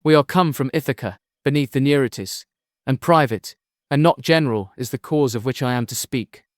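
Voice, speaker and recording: A man's voice: medium pitch, fairly quick, no echo, studio quality, natural voice. A man playing an earnest young prince seeking his lost father, in a formal, solemn audiobook drama of epic verse. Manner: formal, solemn, pleading declamation.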